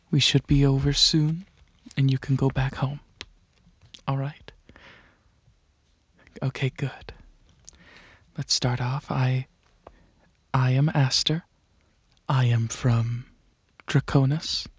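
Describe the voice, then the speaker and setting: A performer of unclear sex voicing a calm, polite dragon alien researcher in a soft, whispery, gently halting ASMR roleplay, close to the microphone. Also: medium pitch, dry recording. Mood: calm, reassuring. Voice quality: soft, breathy, often whispery. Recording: close, dry, slightly muffled recording.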